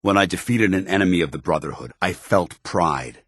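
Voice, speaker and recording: An actor playing an earnest, honour-bound soldier paladin in a video game, with a firm voice and grave, formal, deliberate delivery. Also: male voice, deep voice, fairly bright tone, breathy edge, no room sound, clean studio sound. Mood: solemn, sincere. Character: earnest, honour-bound military paladin, a synthetic man troubled by his identity. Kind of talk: dramatic video game dialogue.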